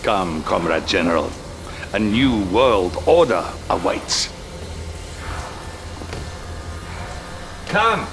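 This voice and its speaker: An actor playing a scheming, power-hungry Soviet premier with a Russian accent and a theatrical, commanding manner in a game cutscene. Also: male voice, fairly low pitch, big pitch swings, slow, background noise, full character voice. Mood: commanding, urgent. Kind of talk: dramatic video game cutscene acting. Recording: music behind the voice.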